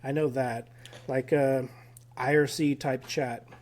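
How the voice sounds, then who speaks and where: A man being interviewed as a guest researcher on a podcast. He pieces his memories together haltingly, with plenty of fillers and restarts. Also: mid-range voice, fairly even intonation, darkish tone. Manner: recalls details haltingly with many fillers. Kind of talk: casual podcast conversation.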